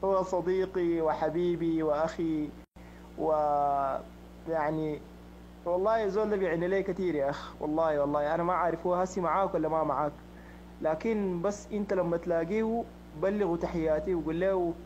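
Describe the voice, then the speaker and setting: A man's voice: fairly high pitch, fairly even intonation, brisk, a little mellow, muffled recording, a little hiss or hum. A man from Sudan with a Sudanese Arabic accent, talking earnestly and repeating himself in a voice message. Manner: earnest, repetitive. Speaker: prank victim. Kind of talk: casual voice message.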